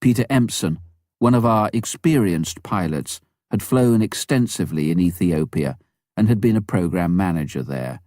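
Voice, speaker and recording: A man reading a memoir aloud as scripted narration, in a measured, clearly phrased way. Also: deep voice, animated, dark tone, dry recording, clean studio sound. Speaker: audiobook narrator.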